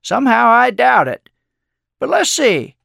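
A man's voice: high voice, sing-song, moderate pace, gravelly, fairly bright tone, no echo, clean studio sound, voice pushed up, full character voice. A man voicing a kindly old wandering mentor with a playful, sage-like, teasing manner in an audiobook. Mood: sly, teasing.

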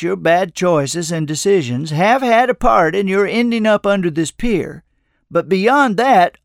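A kindly old man of the road, acted out by a male narrator, pitched a little high with some creak in the voice. He talks in a calm, folksy, soothing way, like a storybook mentor, close and dry. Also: dry recording, full character voice. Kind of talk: audiobook character dialogue.

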